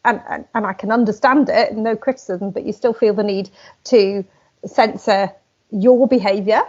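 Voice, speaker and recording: A woman's voice: medium pitch, moderate pace, darkish tone, dull audio. A woman hosting an interview, asking thoughtful questions with fillers and hesitations. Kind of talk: interview conversation.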